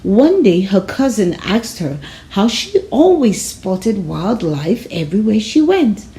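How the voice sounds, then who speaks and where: A woman narrating a children's storybook aloud in a measured, expressive storytelling manner. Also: medium pitch, poor audio. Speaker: storybook reader.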